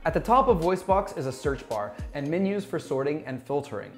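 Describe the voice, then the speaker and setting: A man in his thirties with a slightly high-pitched voice narrates a software tutorial. He addresses viewers in an upbeat, scripted presenter style, with music playing behind him. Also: sing-song, brisk. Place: small bright office, indoors. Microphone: lapel mic. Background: background music.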